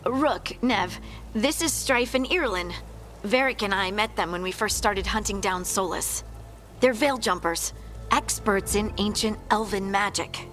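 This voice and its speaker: An earnest fantasy scout, voiced by a woman with a medium-pitched voice. She talks insistently, as in a game cutscene, while music plays loudly behind her. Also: unhurried, natural voice. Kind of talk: video game cutscene acting.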